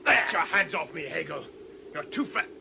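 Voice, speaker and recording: An actor playing an insolent, defiant crook in a radio drama, sneering and combative. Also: male voice, on the high side, sing-song, normal speed, creaky, bright, forceful tone, heavily acted. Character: insolent, defiant male crook under arrest. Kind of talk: British radio drama acting. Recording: old radio drama recording with faint noise.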